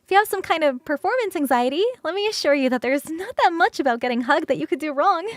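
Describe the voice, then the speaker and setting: A woman performing an ASMR roleplay as a playful, affectionate succubus roommate who talks straight to the listener in a coaxing, halting, expressive way. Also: sits high, no room sound. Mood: reassuring, amused. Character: affectionate, playful succubus roommate, young-sounding, eager to cuddle. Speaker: streamer performing scripted roleplay.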